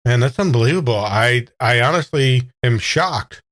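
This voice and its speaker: A man's voice: mid-range voice, animated, moderate pace, a bit bright. An American man improvising casual in-character dialogue as a player in a tabletop game.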